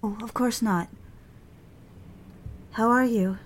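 Slow, demure actress as a young secretary. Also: female voice, on the high side, slight background noise. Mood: drowsy, polite. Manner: demure, hesitant, earnest. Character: dutiful, proper, flustered young secretary secretly in love. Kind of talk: dramatic audio fiction, intimate dialogue.

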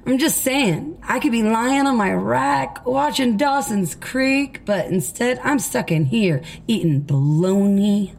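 An actress playing a blunt, money-minded female prison inmate who grumbles in drawn-out slang in a comedy audio drama. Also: a little high, creaky, exaggerated voice. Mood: wry, grumbling.

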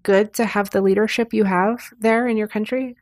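A woman's voice: mid-range voice, fairly even intonation, normal speed, a little mellow. A woman with an American accent hosting a casual, conversational podcast interview. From United States.

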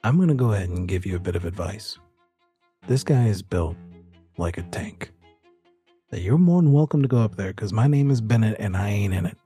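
A man voicing a world-weary, disinterested hotel desk clerk with slangy, deadpan talk in a tabletop audio drama. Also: fairly low pitch, brisk, faint music behind, close to an everyday voice. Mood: grudging warning.

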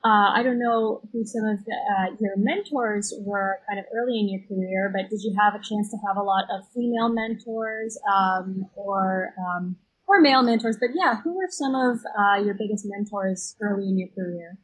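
A woman's voice: medium pitch, moderate pace, slightly rough, poor audio. A woman interviewer asking conversational questions in a tentative, rambling way.

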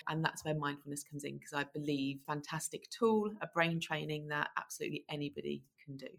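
A woman in her forties, a mindfulness teacher as a guest on a podcast interview, enthusiastic and quick to laugh. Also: deep voice, a bit bright.

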